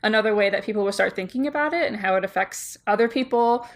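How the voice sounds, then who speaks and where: A woman's voice: mid-range voice, quick pace. A woman co-hosting a podcast, asking questions and showing her appreciation in a casual interview, restarting her sentences now and then. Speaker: podcast co-host.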